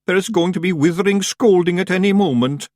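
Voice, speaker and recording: A man's voice: fairly quick, a little mellow, no echo, studio quality, natural voice. A man doing wailing Scottish fairy men. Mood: panicked. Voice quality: wailing, squeaky. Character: tiny panicky fairy men, wailing and doom-laden.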